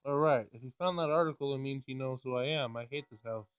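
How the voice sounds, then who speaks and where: A man doing a casual game playthrough, reading dialogue aloud and thinking out loud in informal, slangy language. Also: medium pitch, quick pace, smooth, no room sound. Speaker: let's play gamer.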